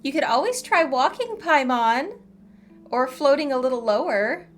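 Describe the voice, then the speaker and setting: A woman's voice: high voice, animated, normal speed, slight background noise. An American woman from the eastern US, chatting casually and playfully as she streams gameplay. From eastern United States. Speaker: game streamer. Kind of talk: casual live gameplay commentary. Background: game music.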